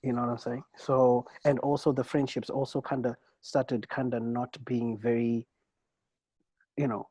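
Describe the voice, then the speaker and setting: A man co-hosting a casual podcast chat, rambling and halting with many restarts and "bro"s. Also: mid-range voice, flat delivery, mellow, slightly rough, dry recording, rough recording. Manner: rambling and halting, many restarts, peppered with "bro" and "you know what I'm saying". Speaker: co-host.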